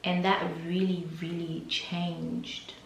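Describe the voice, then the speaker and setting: A young Nigerian-accented woman speaks in a fairly low voice, taking her time over a personal story. Her telling is reflective and hesitant. The room around her rings with a noticeable echo. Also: flat delivery, slow pace, gravelly, slight background noise. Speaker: vlogger.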